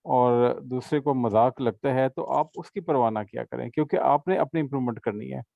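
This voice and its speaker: A man's voice: medium pitch, moderate pace, gravelly, dry recording, narrow, tinny audio. A man tutoring an English class in a casual session, patiently explaining with examples and checking understanding. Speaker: English teacher.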